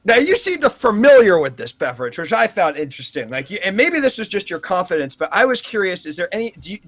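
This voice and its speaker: High-pitched, animated man hosting. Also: fast talker, dry recording. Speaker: host of a mock audition. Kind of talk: improvised comedy interview.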